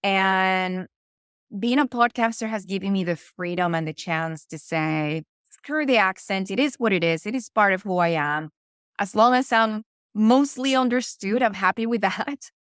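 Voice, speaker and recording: A woman's voice: mid-range voice, animated, moderate pace, fairly bright tone, smooth, no room sound, clean studio sound. A middle-aged woman from Venezuela with a Venezuelan Spanish accent, talking earnestly as a podcast guest and often restarting her phrases. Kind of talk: conversational interview.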